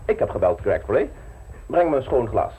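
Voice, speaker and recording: An actor in a radio play, playing a calm, calculating blackmailer who speaks in cool, cutting phrases. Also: male voice, mid-range voice, normal speed, a little hiss or hum, close to an everyday voice, poor audio. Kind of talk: radio drama acting.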